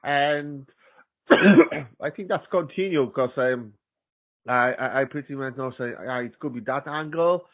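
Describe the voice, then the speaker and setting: Polish-speaking man on a tinny call line. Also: mid-range voice. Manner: chatty, halting restarts, laughs often. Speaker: guest, systems engineer. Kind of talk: casual interview chat. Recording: tinny phone-like call audio.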